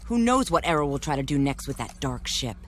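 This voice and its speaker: A man's voice: medium pitch, very animated, brisk, a little hiss or hum, natural voice. A man playing a businesslike resistance leader in a video game, firm, commanding and matter-of-fact. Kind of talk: video game dramatic acting.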